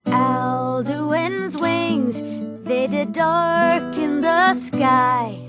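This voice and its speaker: A woman's voice: high-pitched, very slow. A young woman playing a female bard, singing heroic ballads in a fantasy game. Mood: stirring, mournful. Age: young adult. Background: plucked guitar-like string accompaniment.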